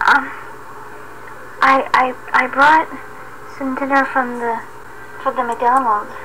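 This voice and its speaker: Meek wife, acted by a woman, fairly high voice, halting and apologetic, noisy homemade audio drama. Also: flat delivery. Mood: nervous, apologetic. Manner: hesitant, stammering, apologetic. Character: timid, placating wife of an abusive husband. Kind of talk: amateur audio play acting.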